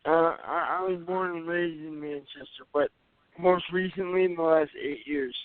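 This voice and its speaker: A man's voice: a little high, steady tone, moderate pace, dry recording. A man with indistinct, hard-to-understand speech, giving brief casual replies as a radio show guest over the phone. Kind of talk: casual chat. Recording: muffled, tinny phone line.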